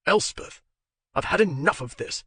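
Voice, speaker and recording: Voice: mid-range voice, animated, moderate pace, sharp tone, breathy edge, no room sound, voice pushed up, exaggerated voice. A reader voicing an angry, drunk husband who blusters and curses at his wife, with a dry, close sound. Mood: blustering. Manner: blustering, coarse.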